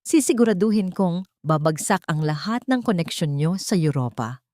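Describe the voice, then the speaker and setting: A cold, glamorous woman out for revenge, as played by a female narrator, speaks in a dry, close recording. She is haughty and measured, with threat in her words, in the style of an audio drama. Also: on the low side, lively intonation, a little mellow, no room sound, natural voice. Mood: stern, threatening.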